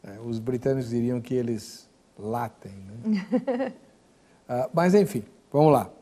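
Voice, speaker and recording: Jovial Brazilian TV host. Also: male voice, mid-range voice, expressive, a little slow, mellow. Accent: Brazilian Portuguese. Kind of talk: hosting a studio talk show.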